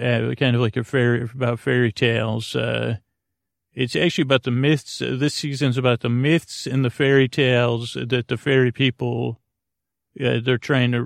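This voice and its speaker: A man with a creaky, gravelly voice and a very flat delivery, recorded close and dry. He hosts an unscripted bedtime podcast, meandering through half-finished thoughts, stammering and circling back as he loses his thread. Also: mid-range voice, dry recording. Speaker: podcast host. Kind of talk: rambling, unscripted bedtime monologue.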